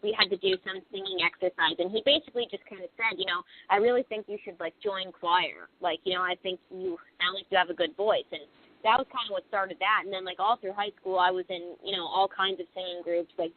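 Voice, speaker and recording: A woman's voice: lowish voice, fairly even intonation, brisk. A young woman from Pennsylvania, a band singer guest on a casual radio interview, rambling with lots of "like" and "you know". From Pennsylvania, USA. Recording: lo-fi phone-like radio recording.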